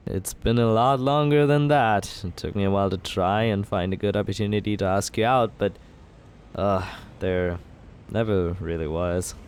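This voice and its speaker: A man in an ASMR roleplay as a villain, talking smoothly and persuasively in a teasing, flirtatious way. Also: lowish voice, measured pace, a little mellow.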